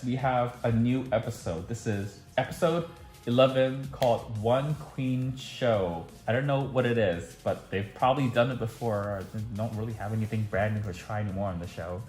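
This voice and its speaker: A young man chatting casually to camera at home in a daily vlog, full of "like" fillers. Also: mid-range voice. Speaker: vlogger.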